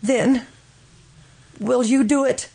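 An actress playing a grief-stricken, obsessive young widow in an old-time radio drama, pleading in a fervent, melodramatic way. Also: female voice, sits high, fairly even intonation, slow, gravelly, warm tone, husky, full character voice. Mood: fervent, insistent.

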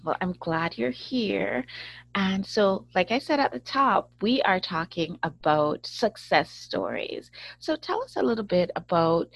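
A woman's voice: mid-range voice, vocal fry, no echo. A woman hosting an interview show, emphatic and encouraging as she talks with her guest. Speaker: show host. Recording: dry, slightly dull sound.

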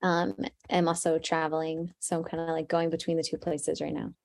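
A woman musician talking in an interview, soft-spoken and thoughtful with many fillers. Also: low-pitched, little pitch movement, fairly quick, gravelly, no room sound. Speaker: musician guest. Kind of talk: casual interview.

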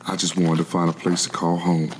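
An actor playing a weary, determined man who escaped slavery in the 1700s, narrating his flight in a measured, solemn stage style. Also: deep voice, monotone, noisy background, lightly acted. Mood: weary, resolute. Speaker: narrator in a gospel oratorio. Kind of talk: dramatic scripted first-person narration.

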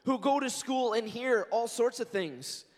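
A man in his forties, a pastor, preaching a sermon in an earnest, conversational way and telling stories, his voice hoarse and scratchy. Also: very high-pitched, animated, a little slow. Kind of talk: sermon with prayer and scripture reading. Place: church sanctuary. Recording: long reverb of a large church hall.

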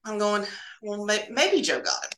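A woman casually telling spooky stories from her hospital laboratory work, halting and repeating words as she goes and acting out bits of dialogue. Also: medium pitch, fairly bright tone, reverberant, slight background noise.

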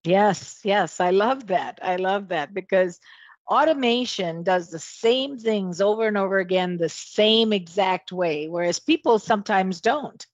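A woman's voice: medium pitch, unhurried, dry recording, highs cut off. Encouraging woman host, dry close sound. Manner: encouraging, affirming. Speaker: podcast host. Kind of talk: interview hosting.

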